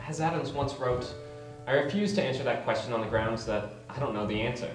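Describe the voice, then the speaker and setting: A man's voice: mid-range voice, brisk, music in the background, lightly acted. A young man playing an awkward, bookish aspiring writer, stammering nervously and eagerly in a web series. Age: young adult. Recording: echoey room with noisy ambience.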